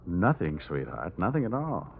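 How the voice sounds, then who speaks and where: A man's voice: low voice, wildly swooping pitch, normal speed, creaky, exaggerated voice. An actor playing a wry, wisecracking insurance investigator who narrates his case in vintage radio noir style. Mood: smooth, teasing. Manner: sardonic, wisecracking. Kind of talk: 1940s-50s radio noir narration and acting. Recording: tinny vintage radio recording, music behind.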